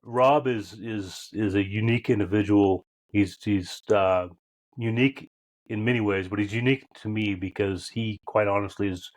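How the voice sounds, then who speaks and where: A man's voice: fairly low pitch, fairly even intonation, moderate pace. A man in his fifties who hosts a podcast, speaking in a reflective way and searching for his words as he introduces his guest. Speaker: podcast host. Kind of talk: casual interview conversation.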